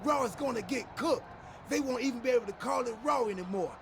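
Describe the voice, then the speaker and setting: An actor playing a goofy, over-excited wrestler in a video game cutscene, boastful and rambling about his faction. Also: very high voice, fairly quick. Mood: manic, excited. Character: hyped, goofy male wrestler, fanatically loyal to his faction. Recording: clearly noisy background.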